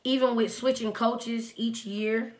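Raspy young woman telling a story. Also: a little high, measured pace. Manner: relaxed storytelling. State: sick, clearing throat. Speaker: mukbang co-host. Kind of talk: casual chat while eating. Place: indoor home studio.

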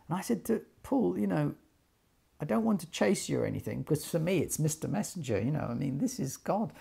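An older man tells a story informally, acting out bits of dialogue as he goes. His voice is gravelly and soft-edged, with a husky grain and a middling pitch. He sometimes doubles back on his words. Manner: animated retelling with quoted dialogue, repeating words. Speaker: loudspeaker designer telling company story. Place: small attic sitting room, indoors.

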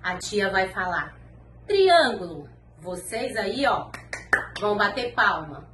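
A Brazilian woman in her thirties, a preschool teacher speaking slowly and encouragingly to small children in an online lesson. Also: medium pitch, sing-song. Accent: Brazilian Portuguese. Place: classroom wall with posters, indoors. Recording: echoey room, faint background noise.

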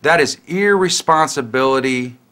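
A man giving a critical interview comment in a measured way. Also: on the high side, fairly bright tone. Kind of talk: interview soundbites.

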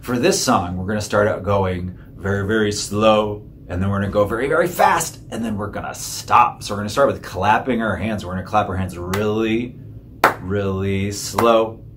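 A man's voice: on the low side, moderate pace, background noise. A middle-aged man leading a children's story time as a librarian, giving simple, encouraging sing-along instructions. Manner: encouraging, addressing small children. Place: small indoor room, seated at a table. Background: acoustic guitar he plays.

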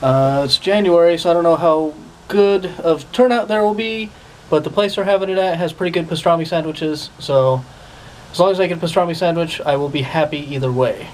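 A man's voice: on the high side, brisk, low-quality recording. A man in his forties chatting casually to a handheld camera held at arm's length while he walks outdoors. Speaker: vlogger. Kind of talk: casual vlog narration. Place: outdoors on a hiking trail and in a parking lot. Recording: outdoor camera audio with steady background noise.

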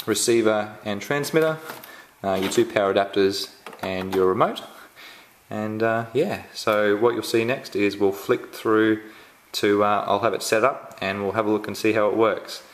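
An Australian man giving a casual unboxing walkthrough at home, halting and full of ums and restarts. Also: lowish voice, fairly even intonation, slight background noise. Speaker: tech reviewer. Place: indoors at home, filming the box up close.

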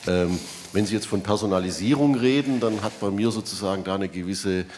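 A man asking a spontaneous question from the audience, phrasing it carefully and haltingly. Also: on the low side, darkish tone. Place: large hall. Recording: echoey hall with background noise.

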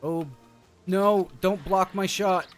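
A man's voice: high-pitched, fairly even intonation, takes it slowly. A man doing casual game stream commentary, chatty and slangy, thinking aloud as he plays. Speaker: streamer, show host. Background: music.

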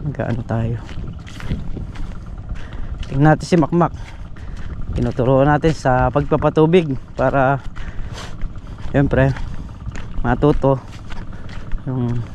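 A man's voice: mid-range voice, steady tone, slow, pauses often, darkish tone, muffled recording. A man with a Filipino accent chatting informally as he narrates a casual farm vlog outdoors on an action camera. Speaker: farm vlogger. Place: open farm field by water, outdoors. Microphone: handheld action camera. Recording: windy, noisy outdoor action-camera audio.

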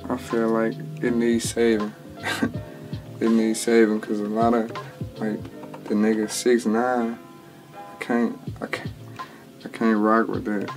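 Laid-back young man, low flat drawl. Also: slow, long pauses. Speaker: rapper. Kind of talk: casual interview answers. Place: small home studio indoors. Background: music bed under the talk.

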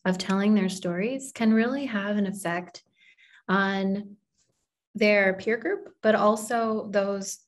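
A woman's voice: medium pitch, steady tone, slow pace. Earnest woman on a video call. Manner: earnest, encouraging. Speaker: panelist. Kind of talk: panel discussion answer. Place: office with shelves. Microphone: video call, laptop camera.

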